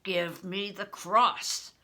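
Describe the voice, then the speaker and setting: A woman's voice: medium pitch, fairly even intonation, very slow, pushed and bright. An older woman voicing a hostile male steward, a storybook villain who gives commanding, clipped demands. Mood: menacing, angry.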